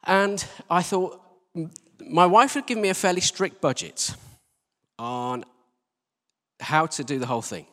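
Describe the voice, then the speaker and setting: A middle-aged man gives an anecdotal sermon in a fairly high, lively voice. His delivery is conversational and self-mocking, swinging up and down in pitch. The long reverb of a church hall surrounds him. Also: unhurried, long pauses. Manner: self-deprecating, conversational, chuckling storyteller. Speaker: church team leader preaching. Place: church hall, live talk.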